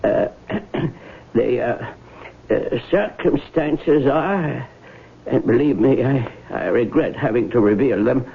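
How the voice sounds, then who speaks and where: A man acting a fussy, formal country lawyer in a 1970s radio drama, husky and apologetic. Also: medium pitch, takes it slowly, heavily acted. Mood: flustered. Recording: thin phone-like old radio broadcast.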